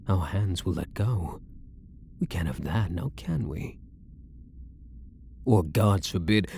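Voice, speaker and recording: A man's voice: low voice, vocal fry, mellow, slight background noise, heavily acted. A man playing a possessive, lovestruck elf prisoner in an intimate roleplay, teasing and theatrical, with doting endearments. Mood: possessive, menacing. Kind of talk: intimate ASMR roleplay acting.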